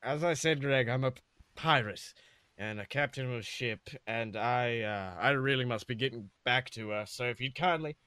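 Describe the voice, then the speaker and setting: A man acts out a roguish pirate captain in a comic story. He speaks lower, with wary, hesitant politeness and a streak of wounded pride. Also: moderate pace, sharp tone, clean tone, dry recording, close to an everyday voice. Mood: uneasy excuses. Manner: hesitant, placating, proud when provoked. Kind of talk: comedic fan fiction character reading.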